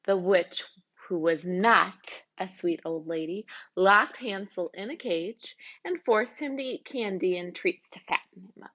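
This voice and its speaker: A woman reading a children's storybook aloud in an expressive narrating style. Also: medium pitch, measured pace, highs cut off.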